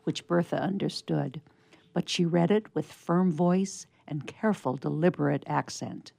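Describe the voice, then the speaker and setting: A woman's voice: low-pitched, unhurried, gravelly, mellow, no room sound. A woman narrating a scripted story for radio theatre in a storybook style.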